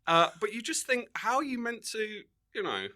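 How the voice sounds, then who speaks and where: A man's voice: high voice, sing-song, normal speed, pushed and bright. A male comedian chatting casually as a podcast guest, with a wry, mock-serious way of talking.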